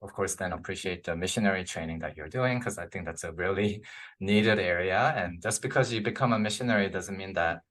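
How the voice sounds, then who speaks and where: A man's voice: low voice, brisk, fairly bright tone. A man from the Philippines interviewing in a casual, reflective way, affirming the guest and often checking in with a short tag question.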